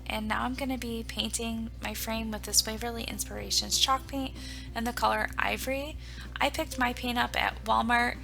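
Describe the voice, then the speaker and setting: A woman hosting her own home decor channel, her voice raspy from a recent illness, walking viewers through each craft step in a chatty, plain way. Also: a little high. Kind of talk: casual step-by-step craft tutorial.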